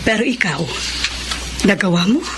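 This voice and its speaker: A woman's voice: medium pitch, lively intonation, normal speed, noisy background, close to an everyday voice. A Filipino woman playing a concerned older aunt who keeps the peace in a radio drama. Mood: concerned, gentle.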